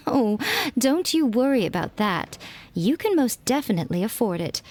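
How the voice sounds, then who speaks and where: A woman's voice: fairly high pitch, big pitch swings, measured pace, darkish tone. A woman voicing a teasing, playful fox-spirit shrine priestess in a video game, languid and mischievous. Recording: game music playing faintly behind.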